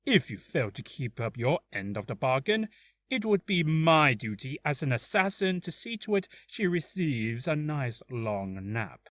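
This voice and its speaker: A man voicing a polite, menacing assassin from a video game in a courteous, measured, deliberate manner. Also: on the high side, big pitch swings, a bit bright. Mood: calm menace. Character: polite, menacing male assassin making ransom demands. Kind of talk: video game character voice acting.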